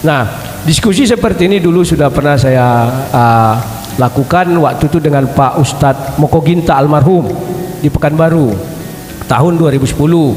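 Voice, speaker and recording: A middle-aged man with a cold speaks emphatically and clears his throat between phrases. His voice sits fairly high. He is recorded in an echoey hall with a long reverb. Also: darkish tone. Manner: emphatic, rising pitch, frequent throat clearing. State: has a cold, coughing. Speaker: debater. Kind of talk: self-introduction at a live debate.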